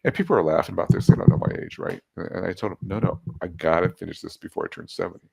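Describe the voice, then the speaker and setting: A man's voice: sits low, rapid-fire, gravelly, mellow, slightly rough. A man in his seventies, a guest casually telling stories about his life and laughing at his own jokes. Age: 70s. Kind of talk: casual storytelling interview.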